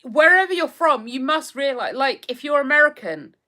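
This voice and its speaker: An English woman in her thirties chats casually on her livestream and reacts to viewer comments as they come in. Her voice sits fairly high and bright, and it swings widely in pitch as she talks. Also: normal speed. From England. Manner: chatty, animated, reacts to comments. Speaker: livestream host.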